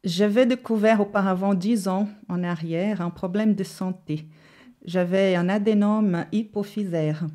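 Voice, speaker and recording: Woman, interview guest, unhurried, dark mellow tone, hesitant explaining. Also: mid-range voice. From Brazil. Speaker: guest giving testimony. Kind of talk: personal testimony in an interview. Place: studio set.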